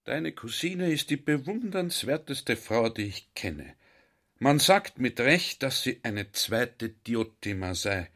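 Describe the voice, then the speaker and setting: An actor playing a talkative, earnest army general in a radio drama, confiding and baffled by ideas. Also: male voice, mid-range voice, very animated, takes it slowly, a bit bright, exaggerated voice. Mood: admiring, confiding.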